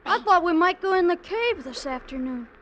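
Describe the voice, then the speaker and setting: A woman's voice: very high-pitched, animated, moderate pace. A woman playing a bold, adventurous ten-year-old boy with confident, coaxing talk in 1940s radio acting. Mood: eager. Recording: muffled, faintly noisy old radio recording.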